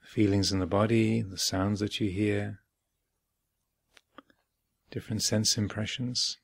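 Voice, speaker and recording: Very slow, creaky-voiced man guiding meditation. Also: lowish voice, little pitch movement, dry recording. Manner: measured, with long pauses between short phrases. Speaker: meditation teacher. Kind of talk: guided meditation instruction. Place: retreat center, close dry recording.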